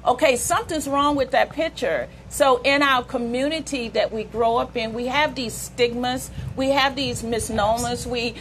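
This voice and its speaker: A woman's voice: a little high, moderate pace, hardly any pauses, fairly bright tone, a little hiss or hum, rough recording. A woman hosting a talk show, asking earnest questions and telling a story of her own as she leads up to them. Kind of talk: interview talk.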